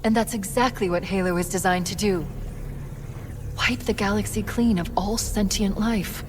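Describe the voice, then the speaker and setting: A woman playing a sharp-tongued, defiant female artificial intelligence in a video game, sarcastic, biting and emphatic. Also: medium pitch, normal speed, in a large hall, noisy background, lightly acted. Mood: angry, emphatic. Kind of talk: video game cutscene acting.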